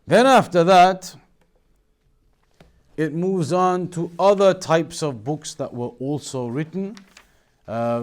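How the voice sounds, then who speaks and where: A man giving an Islamic studies lesson as a teacher, explaining in a measured way and rephrasing key points. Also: mid-range voice, darkish tone. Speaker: religious teacher.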